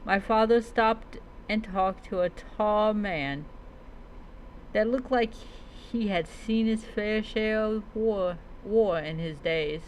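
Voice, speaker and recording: A streamer narrating a fantasy adventure tale in a dramatic storytelling style, halting and often stumbling over and repeating words. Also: medium pitch, a little hiss or hum, poor audio. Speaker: streamer narrating own roleplay character's story.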